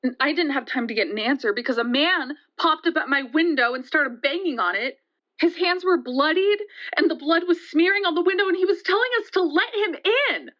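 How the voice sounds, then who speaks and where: A woman playing a shaken young woman who recounts a frightening night in an audio drama, halting and conversational, with hesitations and restarts. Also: sits high, natural voice. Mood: frantic. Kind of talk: first-person dramatic storytelling in an audio drama. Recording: dull, lo-fi sound.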